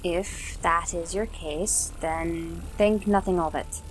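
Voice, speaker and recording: A woman playing a kind young forest ranger in a fantasy audio drama, composed, gracious and gently authoritative. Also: lowish voice, fairly even intonation, slow pace, faint music behind, rough recording.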